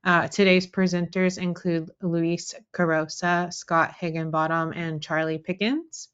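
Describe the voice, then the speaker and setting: A woman, a marketing specialist, reading a scripted webinar introduction in an even, formal manner. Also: on the low side, measured pace, clear, resonant tone, highs cut off. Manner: reads a prepared welcome in an even, formal way.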